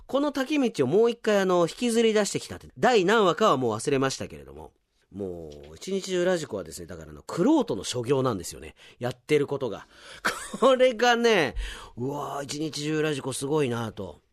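A man's voice: a little high, huge pitch swings, a little fast, no room sound, clean studio sound. A man hosting a radio show, chattily reading out listener submissions and commenting on them casually. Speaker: radio show host and announcer.

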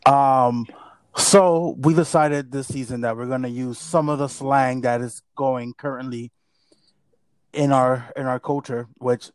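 A man's voice: medium pitch, fairly even intonation, measured pace, no room sound. Male podcast co-host, loose rambling banter, bilingual, close dry recording. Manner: rambling, joking, code-switching Spanish and English. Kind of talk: casual podcast chat. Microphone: not his regular mic.

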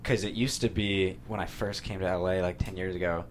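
A man's voice: low voice, fairly even intonation, brisk, a little hiss or hum. A man from San Diego chatting casually as a guest on a talk show. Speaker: guest screenwriter. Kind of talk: casual chat.

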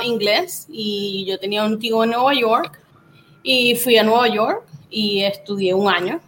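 A woman's voice: a little high, fairly bright tone, slight background noise. An extroverted, chatty woman with a Venezuelan accent, talking casually as an interview guest from home. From Puerto Cabello, Venezuela. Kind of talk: casual chat. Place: at home, remote connection.

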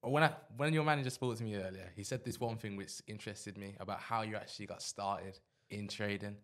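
A man co-hosts a podcast, asking relaxed questions and adding short "yeah" and "mm" replies as the guest speaks. His voice sits somewhat low. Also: fairly quick. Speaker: podcast co-host. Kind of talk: casual podcast interview.

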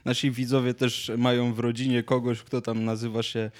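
A man's voice: mid-range voice, little pitch movement, moderate pace. A man telling anecdotes and chatting casually as a podcast co-host, in a hesitant, rambling, jokey way.

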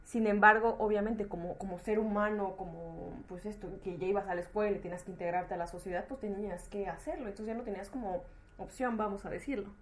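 Medium-pitched Latin American woman hosting. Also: fairly even intonation, quick pace, a little hiss or hum. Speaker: podcast host. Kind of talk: casual chat, interviewing.

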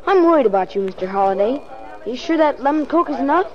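Streetwise newsboy of twelve, fairly high boyish voice, businesslike and confiding, old radio drama, muffled sound. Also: female voice, expressive, quick pace, crowd noise. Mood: earnest. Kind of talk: 1940s radio acting. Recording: dull 1940s radio recording.

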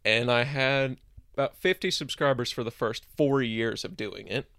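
A young man talking as a guest on a podcast, relaxed and laid-back, swearing casually. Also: mid-range voice, normal speed, no echo, studio quality. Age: young adult. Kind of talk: casual interview talk.

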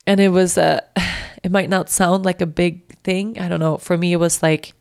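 A woman in her thirties from Sweden with a Swedish accent, hosting and talking candidly and reflectively, halting and restarting as she thinks. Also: fairly low pitch, little pitch movement, creaky, no room sound, studio quality. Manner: candid, halting, self-reflective with frequent restarts and soft laughs. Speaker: podcast host. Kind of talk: personal reflective monologue.

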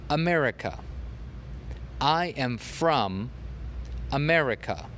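A man teaching a podcast language lesson, repeating example phrases slowly for learners. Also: medium pitch, a little hiss or hum.

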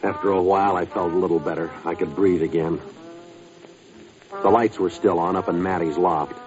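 Hardboiled weary American musician played by a male actor, very deep, clipped radio-drama delivery, dull vintage sound with music underneath. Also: natural voice. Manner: terse, deadpan, clipped sentences. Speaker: lead actor and narrator. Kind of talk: 1950s radio crime drama acting.

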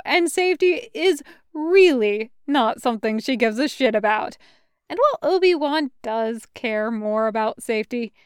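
A woman reading fan fiction aloud as an expressive storyteller. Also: high-pitched, smooth, no echo, studio quality. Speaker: fan fiction podcast reader.

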